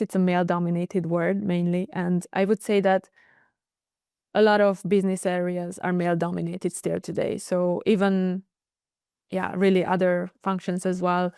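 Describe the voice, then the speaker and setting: A woman answers interview questions in a lowish, smooth, resonant voice. She sounds earnest and determined, with a few hesitations. The sound is dry and close. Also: a little mellow, no echo. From Hungary. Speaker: guest, internal audit director.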